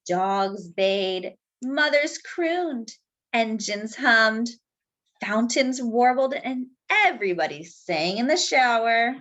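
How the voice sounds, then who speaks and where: A woman reads a picture book aloud to children. Her voice is fairly high and swoops expressively, with slow, drawn-out emphasis. The sound is a little dull and lo-fi. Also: animated, a bit bright. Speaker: children's storyteller. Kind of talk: expressive picture-book read-aloud with asides to young listeners.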